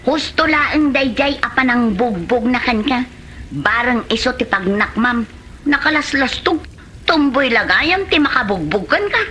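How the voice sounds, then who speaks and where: A woman's voice: a little high, lively intonation, measured pace, pushed and bright, slight background noise, rough recording. A woman with an Ilocano Filipino accent playing a feisty village woman in a radio comedy drama. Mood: scolding.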